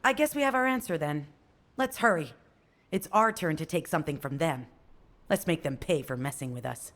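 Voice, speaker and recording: Confident fighter, lively pitch. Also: female voice, moderate pace. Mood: determined. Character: confident, combative young woman fighter. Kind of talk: video game voice acting.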